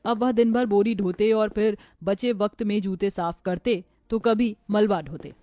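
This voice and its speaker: A woman's voice: mid-range voice, unhurried, mellow, no echo. A woman narrating a scripted moral story for a children's cartoon.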